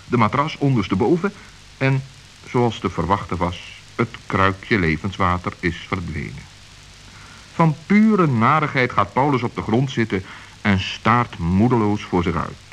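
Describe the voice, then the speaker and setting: A man narrating a children's radio play in a calm, even storytelling manner. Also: fairly low pitch, a little slow, slight background noise, poor audio.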